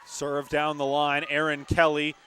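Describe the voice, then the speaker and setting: High-pitched young male volleyball play-by-play announcer. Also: monotone, a little slow, bright, forceful tone, clean tone. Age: young adult. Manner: emphatic, dramatic calls. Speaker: play-by-play sportscaster. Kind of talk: live volleyball commentary. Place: courtside in a gymnasium.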